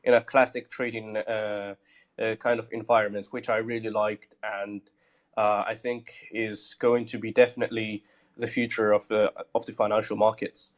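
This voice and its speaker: A man from Morocco, raised abroad, talking casually about his crypto project in a halting way full of ums and restarts. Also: on the low side, flat delivery, muffled recording. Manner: halting, many fillers and restarts. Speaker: project founder guest. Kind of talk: casual live audio chat.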